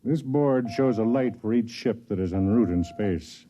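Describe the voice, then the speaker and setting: An actor playing a kindly, self-deprecating older man, a senior officer who also narrates, in 1950s radio drama style with a measured, explanatory manner. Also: medium pitch, mellow, a little hiss or hum, lightly acted. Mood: grave, explanatory. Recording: dull 1950s radio broadcast, music behind.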